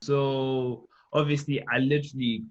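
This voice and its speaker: A man's voice: medium pitch, little pitch movement, unhurried. A man, chatting casually and informally on a panel. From Zimbabwe. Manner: informal, peppers talk with "like" and "you know". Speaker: medical student panellist. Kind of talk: casual chat. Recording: muffled online call audio.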